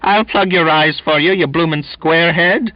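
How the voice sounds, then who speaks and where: A man voicing a bullying, quarrelsome male sailor who snarls and shouts insults. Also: a little high, very animated, a little fast, no echo, voice pushed up. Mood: snarling. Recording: dull lo-fi dry recording.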